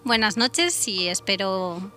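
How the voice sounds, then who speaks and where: A woman's voice: mid-range voice, expressive, slow, pushed and bright. A woman with an accent from Spain co-hosting a casual discussion on a radio show. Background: music.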